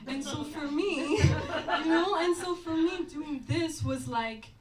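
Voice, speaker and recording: A woman's voice: high-pitched, takes it slowly, slight background noise. A woman choreographer chatting earnestly at a post-show talkback, full of fillers like "um" and "you know". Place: performance venue. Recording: distant mic, faint ambience.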